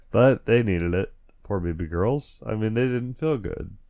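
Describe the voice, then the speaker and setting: A lower-pitched man hosting a laid-back solo podcast. He has a cold, so his throat sounds dry and stuffy. He rambles, corrects himself mid-sentence and chuckles now and then. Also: takes it slowly, darkish tone, lo-fi sound. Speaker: podcast host. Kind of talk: casual solo podcast chat.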